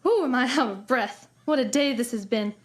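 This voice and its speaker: A woman's voice: fairly high pitch, moderate pace, lightly acted. A woman playing an eager, genteel, starry-eyed lady missionary in a western comedy audio drama, with flowery, grandiloquent speech. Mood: breathless delight.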